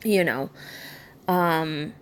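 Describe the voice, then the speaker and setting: Vlogger, woman, mid-thirties, slow and hesitant, soft-spoken, lots of pauses and fillers. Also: sits low, a little hiss or hum. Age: 30s. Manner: slow, halting, subdued and candid, many ums and restarts. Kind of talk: personal vlog talking to camera.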